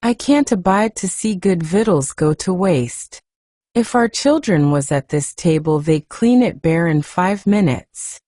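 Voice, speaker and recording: A woman's voice: fairly low pitch, expressive, measured pace, dark tone, dry recording, studio quality. A woman voicing a good-tempered, outspoken young Yorkshire housemaid in a storybook reading, chatty and homely. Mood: indignant, outspoken.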